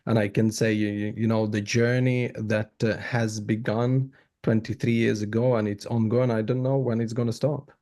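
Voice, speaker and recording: A man from Ukraine with a Ukrainian accent, giving reflective interview answers in an earnest, halting way with repeated words. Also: lowish voice, flat delivery, warm tone. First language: Ukrainian or other non-English. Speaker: podcast guest.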